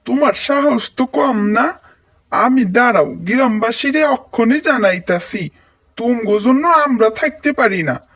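A man's voice: very high voice, expressive, a little slow, a bit bright, full character voice. A man playing a quarrelsome, aggrieved villager in a cartoon. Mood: angry, threatening. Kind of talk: cartoon dialogue.

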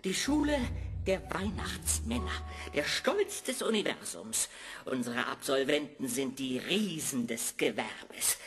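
A woman's voice: rumbling low, sing-song, a little slow, exaggerated voice. A woman playing a pompous, haughty school official in an audio drama, declaiming in proud phrases. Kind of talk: German audio drama acting. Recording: loud music under the voice.

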